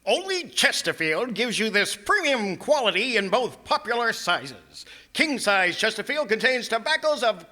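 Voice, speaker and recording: Man playing a very bright, high radio pitchman. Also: sing-song, a little slow, few pauses, full character voice. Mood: emphatic, selling. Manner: emphatic. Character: punchy, emphatic radio cigarette-ad pitchman. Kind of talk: 1950s radio commercial announcing.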